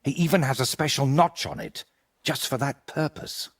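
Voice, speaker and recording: An actor playing a troubled, guilt-ridden older medieval painter in a video game, husky and earnest, as if confessing. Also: male voice, mid-range voice, normal speed, natural voice. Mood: bitter, desperate. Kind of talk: video game dialogue acting.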